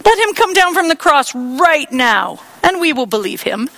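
Woman reader playing high, loud, sneering priests. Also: big pitch swings, moderate pace, heavily acted. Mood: scoffing. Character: scoffing male religious leaders, sneering and proud.

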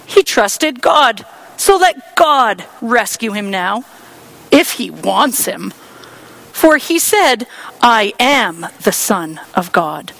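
Woman reader playing high, loud, sneering priests. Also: big pitch swings, slow pace, creaky, heavily acted. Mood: scoffing. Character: scoffing male religious leaders, sneering and proud.

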